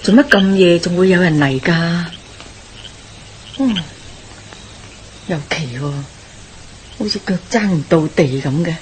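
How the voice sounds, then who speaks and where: An actress playing a warm-hearted, nosy middle-aged village wife with a Hong Kong Cantonese accent, gossipy and exclamatory, in a radio drama. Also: female voice, lowish voice, animated, measured pace, long pauses, slight background noise. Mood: cheerful, curious.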